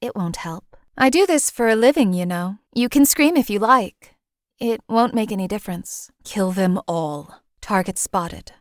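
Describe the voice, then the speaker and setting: A woman's voice: mid-range voice, animated, measured pace, no echo, natural voice. A woman playing a composed female assassin for hire in a video game, speaking in a dry, matter-of-fact way. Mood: threatening. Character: cool, lethal female assassin companion for hire. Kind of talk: video game companion voice lines.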